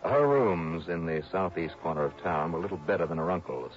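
An actor playing a level-headed insurance investigator in a 1960s radio detective drama, matter-of-fact and businesslike. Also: male voice, low voice, expressive, a little fast, faint music behind. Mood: wry, narrating. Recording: muffled old radio broadcast recording.

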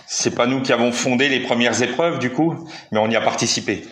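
A man's voice: medium pitch, a little fast, fairly bright tone, a little hiss or hum. A man in his forties from eastern France, a cycling coach speaking as a guest, hesitant with many fillers and self-corrections. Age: 40s. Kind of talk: casual interview.

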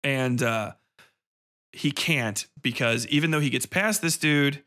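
A man's voice: mid-range voice, unhurried, bright, forceful tone. A man hosting a podcast, giving a casual, opinionated film review in a profane, incredulous manner.

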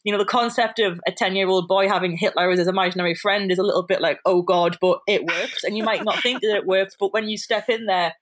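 A woman guest on a podcast who gushes with enthusiasm. She laughs often and strings her thoughts together with plenty of "like" and "you know". Her voice has a mid pitch. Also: steady tone, quick pace, hardly any pauses. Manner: bubbly, enthusiastic, laughs often, many fillers. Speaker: guest film blogger. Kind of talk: casual chat.

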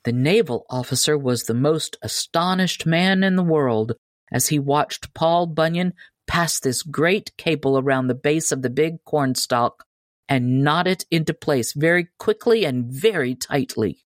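A woman's voice: deep voice, a little slow, no echo. Woman narrating a storybook, close and dry. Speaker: audiobook narrator.

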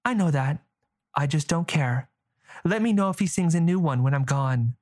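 A man's voice: on the high side, animated, a little mellow, natural voice. A man doing a cheeky, bold orphan girl. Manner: quick, cheeky, carefree.

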